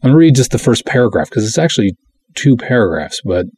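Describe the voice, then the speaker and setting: A man hosting a podcast solo in a casual, rambling, self-deprecating way, chatting and reading things aloud with a husky voice. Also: mid-range voice, sing-song, fast talker, a little mellow. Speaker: podcast host.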